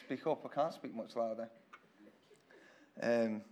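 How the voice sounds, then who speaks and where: A man preaches to his church with a hoarse, croaky voice. His style is casual and conversational, full of repeated phrases and small restarts, and his pitch sits in an ordinary middle range. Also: takes it slowly, background noise. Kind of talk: informal church sermon. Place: church hall with congregation.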